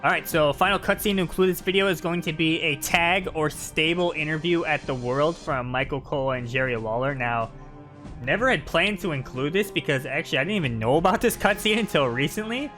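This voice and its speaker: Chatty guy doing casual game commentary, voice a bit high, music clearly playing behind him. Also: fairly quick, pushed and bright. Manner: informal, chatty. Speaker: gaming video commentator.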